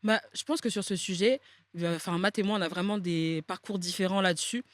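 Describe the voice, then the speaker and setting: A woman's voice: fairly low pitch, brisk, dry recording. A woman in her twenties, a podcast guest, talking casually with lots of fillers and easy laughs. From France. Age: 20s. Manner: relaxed, many fillers, laughs easily.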